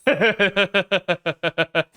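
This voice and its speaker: Bright-voiced French-Canadian man, unhurried. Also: high voice, smooth. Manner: thinks aloud, narrating each play to chat. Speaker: streamer. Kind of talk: casual game stream commentary.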